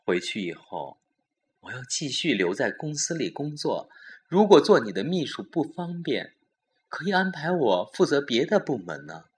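A man's voice: mid-range voice, big pitch swings, moderate pace, pitched above the performer's own voice, close to an everyday voice. A man voicing an indignant, tearful young wife in her twenties, pleading and accusing, in a Chinese audio drama. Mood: earnest.

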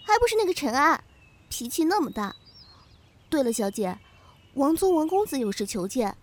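A woman's voice: high voice, sing-song, moderate pace. A woman playing a pert, loyal young maidservant in a Chinese costume audio drama, speaking in an exclamatory, huffy way.

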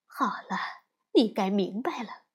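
A woman voicing a gentle mother bear who comforts her grieving child in a soft, soothing way. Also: medium pitch, expressive, a little slow, slightly rough.

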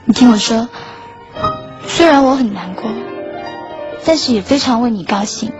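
A woman acts as a lovelorn schoolgirl in a radio drama, with a fairly high voice. She is wistful, earnest and quietly self-effacing as she tells of her heartbreak. The audio is dull and lo-fi, with clear music underneath. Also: animated, moderate pace, lightly acted. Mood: resigned sadness. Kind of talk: Chinese radio drama acting with inner monologue.

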